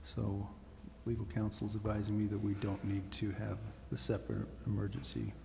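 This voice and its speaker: Gravelly, flat-toned man. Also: fairly low pitch, brisk. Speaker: city manager. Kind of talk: formal explanation at a meeting. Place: council chamber. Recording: reverberant hall, faint noise.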